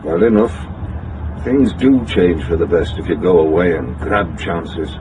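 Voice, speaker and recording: A man's voice: low voice, animated, measured pace, noisy background. An actor playing a flash, breezy British businessman back in town, in a radio drama with a slightly dull recording. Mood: smug. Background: music.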